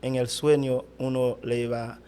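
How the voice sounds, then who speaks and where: A middle-aged Haitian man, a pastor whose first language is Haitian Creole, speaking Spanish haltingly with a Haitian accent in an interview. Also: mid-range voice, flat delivery, dark tone, smooth. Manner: halting, searching for words in Spanish. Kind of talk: interview answers.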